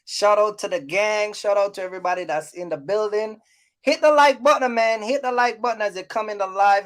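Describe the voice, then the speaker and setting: A man's voice: high-pitched, fairly bright tone. Man hosting a casual livestream, repeating himself. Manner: repeats himself, informal street slang. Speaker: live stream host.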